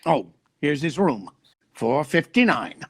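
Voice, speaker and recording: A man's voice: fairly high pitch, very animated, slow pace, fairly bright tone, slightly rough, dry recording, clean studio sound, exaggerated voice. A man playing a stuffy, easily scandalized husband in a 1940s-style radio sitcom, pompous and given to bluster. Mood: earnest.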